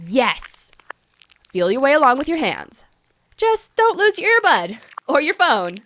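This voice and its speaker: A woman's voice: sits high, wildly swooping pitch, unhurried, fairly bright tone, heavily acted. A woman playing an earnest, anxious scientist who gives urgent, commanding instructions in an audio drama. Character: earnest, urgent young scientist coaching a trapped friend.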